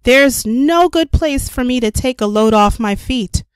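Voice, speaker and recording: A hard-working mother from a storybook, put on by a woman reader, speaks plainly and with emphasis. The voice is pitched up and recorded dry. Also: animated, unhurried, clean tone, dry recording. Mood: weary.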